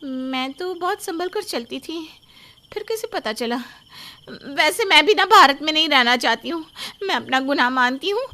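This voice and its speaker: An overworked young wife from a storybook drama, voiced by a woman narrator. She pitches high and swings widely as she complains, dramatic and put-upon. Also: animated, moderate pace, sharp tone. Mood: resigned, dramatic. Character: overworked young daughter-in-law, a hapless undercover agent. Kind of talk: moral story dialogue.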